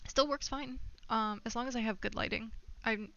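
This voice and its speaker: A woman's voice: a little high, normal speed, vocal fry, bright, forceful tone, lo-fi sound. A woman live streamer chatting casually to her viewers while gaming, rambling and thinking aloud.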